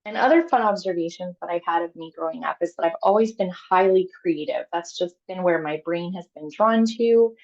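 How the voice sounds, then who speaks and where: A woman from Canada in her thirties talks through her own story on a call, candid and chatty, full of fillers and restarts. Her voice sits low with a gravelly fry. The audio is dull and lo-fi. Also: brisk, hardly any pauses, a little mellow. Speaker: guest speaker. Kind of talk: personal talk on a video call.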